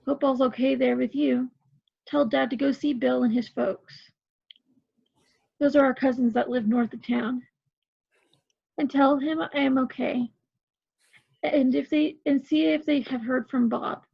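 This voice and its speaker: A woman playing an earnest young woman who shares a soldier's letter in an amateur play reading over a video call, reading a little haltingly. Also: high voice, fairly even intonation, vocal fry, husky, dry recording, full character voice. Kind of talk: amateur play table read. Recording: muffled video call audio.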